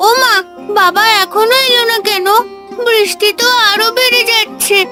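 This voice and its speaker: A woman playing an anxious, devoted little village girl in an animated cartoon story. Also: very high-pitched, normal speed, music in the background. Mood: worried. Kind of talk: animated story character dialogue.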